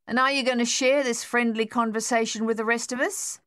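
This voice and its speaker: A woman voicing a gruff, sarcastic male army captain who barks orders. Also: fairly high pitch, steady tone, brisk, a bit bright, no echo, clean studio sound, voice pushed up, natural voice. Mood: stern. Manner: snappish, sarcastic.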